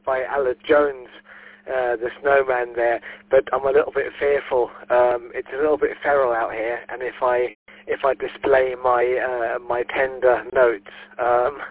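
A man's voice: mid-range voice, dry recording. A man on amateur radio, chatting casually and jokily, with lots of ums and uhs. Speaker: ham radio operator. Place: holiday home patio. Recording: tinny radio link.

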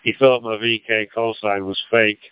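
An older man with a Lancashire accent, an amateur radio operator chatting in an easygoing, halting way over a radio link. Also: lowish voice, fairly even intonation, smooth, no echo. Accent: Lancashire English. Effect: two-way radio. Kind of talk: casual radio chat with anecdotes and call signs. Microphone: radio transceiver microphone. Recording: phone-like digital radio gateway link.

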